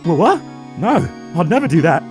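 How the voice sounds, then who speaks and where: A meek, anxious man , acted by a woman, pleads hesitantly, his pitch leaping very widely. Clear music sits behind the game dialogue. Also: big pitch swings, normal speed. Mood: anxious, pleading. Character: anxious, meek male actor accused of murder. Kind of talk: voiced dialogue for a video game fan dub.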